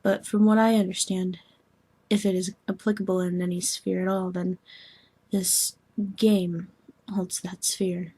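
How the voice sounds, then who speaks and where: A woman voicing a formal, gently meddling young alien woman in scripted dialogue, speaking in a measured, precise, deadpan way. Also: on the low side, husky. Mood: measured, explanatory.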